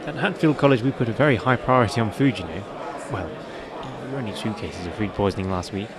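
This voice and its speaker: An actor playing a young, put-upon college librarian in a comic radio play, weary, flustered and sceptical. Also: male voice, fairly low pitch, quick pace, crowd noise, lightly acted. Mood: dry, awkward. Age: young adult. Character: dissatisfied, fussy, put-upon college librarian, deferential man.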